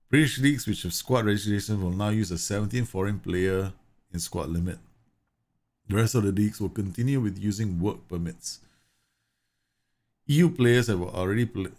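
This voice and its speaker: A man from Singapore live-streaming a football management game, chatting casually, reading game news aloud and laughing often. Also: low voice. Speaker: gaming streamer.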